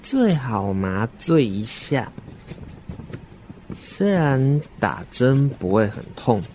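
Sinister radio-drama doctor, played by a man, calm and professional, slow measured speech, faint noise. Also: mid-range voice, pitched below the performer's own voice.

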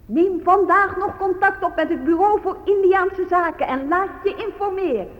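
An actress playing a preachy, patronizing government announcer in a radio play, in a declamatory manner. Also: female voice, very high-pitched, moderate pace. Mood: promotional. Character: preachy female government announcer, patronizing toward Native Americans. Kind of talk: Dutch radio play acting. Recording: muffled recording with long reverb.

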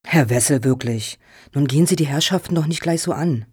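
A woman playing a composed, courteous head of a foundation in a satirical radio play, speaking in a formal, measured, officious way. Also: low voice, a little mellow, husky, no echo, clean studio sound. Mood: gently reproving.

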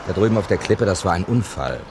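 A man's voice: low voice, animated, quick pace, noisy background. An actor playing an irritable, defensive younger man who is both suspect and narrator in a radio crime drama. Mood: irritated.

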